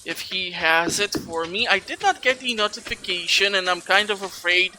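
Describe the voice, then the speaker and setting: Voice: medium pitch, normal speed, noisy background. A gaming video host giving casual let's play commentary, chatty and rambling while thinking aloud. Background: video game music.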